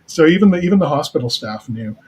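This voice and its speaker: Husky, higher-voiced man, halting interview chat. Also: lively intonation, a little mellow. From Canada or the United States. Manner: halting, repeats words while thinking. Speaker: guest, writer-producer of an audio drama.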